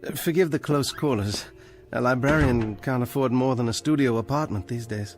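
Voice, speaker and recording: A man plays a bookish male librarian in a radio play, mid-pitched and courteous. He chooses his words with care and has a wry, quietly defiant streak, with music beneath him. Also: lightly acted. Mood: polite, hesitant. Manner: courteous, literate, deliberate. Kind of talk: dramatic radio play acting.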